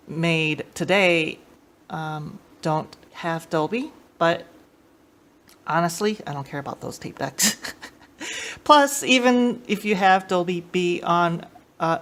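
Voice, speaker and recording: A woman giving a casual how-to demonstration as a host, explaining informally and a little hesitantly. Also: low-pitched. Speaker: host of a tape deck tech channel. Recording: clear background noise, room ambience.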